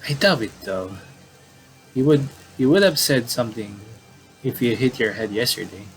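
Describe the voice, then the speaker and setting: A man voicing a calm, matter-of-fact brother in a horror visual novel. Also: mid-range voice, moderate pace, soft background music, natural voice. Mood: unbothered. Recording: clearly noisy home recording.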